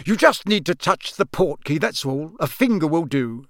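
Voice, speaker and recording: Guy doing a nice polite wizard dad, middle aged, amiable, bit high, storybook. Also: lively intonation, moderate pace, a bit bright, no echo, clean studio sound. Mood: brisk. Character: friendly, polite middle-aged wizard father, mild-mannered.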